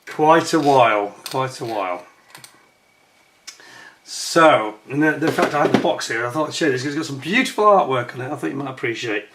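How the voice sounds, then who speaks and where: An older man from the United Kingdom with a croaky voice and a chesty cough, chatting casually to camera as host of his own channel, rambling with plenty of fillers and restarts. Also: medium pitch, expressive, moderate pace, a bit bright, slight background noise. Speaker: YouTube host.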